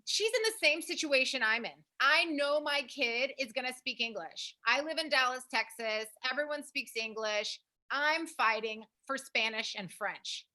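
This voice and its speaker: High, bright-voiced American woman, emphatic. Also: moderate pace, few pauses. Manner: animated, emphatic, repeats points. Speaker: host, language program founder. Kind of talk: casual advice discussion.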